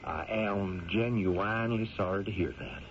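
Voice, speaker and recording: An actor playing a kindly, folksy frontier hotel owner with an American West accent in a radio western, drawling solicitously with plenty of hemming and hawing. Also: male voice, lowish voice, fairly even intonation, a little slow, close to an everyday voice. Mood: genial, courteous. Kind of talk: old-time radio western acting. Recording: muffled, noisy radio drama recording.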